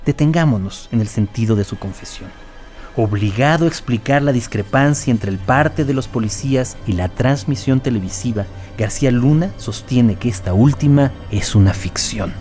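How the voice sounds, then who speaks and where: A man's voice: medium pitch, moderate pace, darkish tone, faint music behind. A man reading scripted documentary narration as the narrator of an audio series.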